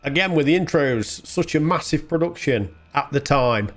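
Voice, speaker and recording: A man's voice: on the high side, expressive, moderate pace, a bit bright. A man hosting a gaming video, chatting casually and thinking aloud as he plays. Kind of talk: casual game play commentary. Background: game music.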